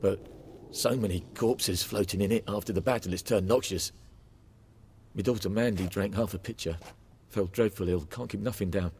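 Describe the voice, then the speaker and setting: An actor playing an anxious, superstitious village father in a video game, with a husky voice and rustic, earnest phrasing. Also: on the low side, darkish tone, long reverb tail.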